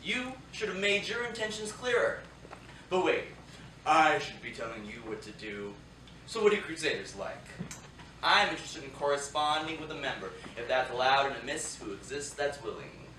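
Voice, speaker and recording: Man as bright-voiced young wartime soldier. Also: fairly high pitch, sing-song, normal speed. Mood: bemused, hopeful. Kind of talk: staged reading of narration and letters. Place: theatre stage, live staged reading. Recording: echoey theatre, distant mic, faint noise.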